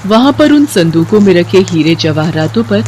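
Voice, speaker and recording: A woman's voice: fairly low pitch, very animated, normal speed, a little mellow, a little hiss or hum. A woman narrator reading a scripted story in a dramatic storytelling style.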